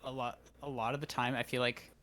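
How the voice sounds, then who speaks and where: Halting man on a noisy call. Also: medium pitch, flat delivery, sharp tone. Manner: hesitant, halting, thinking aloud. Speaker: student in coaching session. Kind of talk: casual chat. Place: remote online voice call.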